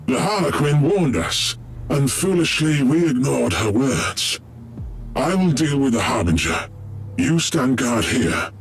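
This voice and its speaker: A resolute alien elf leader from a science-fiction game. He gives solemn, formal commands with a wide, dramatic swing of pitch. There is faint noise behind him. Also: very animated, unhurried. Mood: urgent, grave. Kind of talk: video game cutscene acting.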